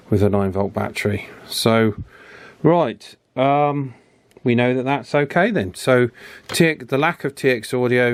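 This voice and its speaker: A man's voice: on the low side, no echo. A man giving casual, unscripted commentary as he works on an electronics repair, chatty and fond of tag questions. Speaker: host of an electronics repair channel. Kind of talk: casual hands-on repair commentary, talking while working.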